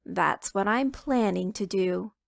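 A woman reading aloud plays a groggy father chicken, weary and patient, slow and a little gravelly. The sound is dry and close. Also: medium pitch, no room sound. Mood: sleepy. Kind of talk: children's picture book read aloud.

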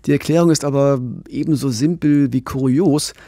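A man in his forties co-hosting a true-crime podcast, explaining the case in a calm, measured way into a studio microphone. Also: medium pitch, dry recording. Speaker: podcast co-host. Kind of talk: scripted true-crime narration. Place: small radio studio. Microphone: studio condenser mic with pop filter, headphones.

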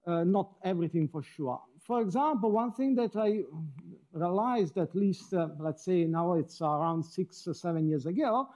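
A middle-aged man lectures in a hall, his voice pitched fairly high yet soft and mellow in tone. He is wry and self-deprecating, and often stops to rephrase mid-sentence as he works through a seminar. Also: animated, normal speed. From Switzerland. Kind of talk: academic seminar talk.

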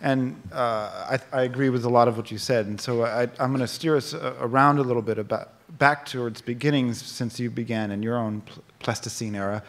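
A man in his forties moderating a stage conversation at a book festival, asking curious, halting questions into a stand mic. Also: medium pitch, fairly even intonation. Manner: halting, many fillers and restarts, curious. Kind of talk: onstage literary conversation. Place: theater stage at a book festival, live audience.

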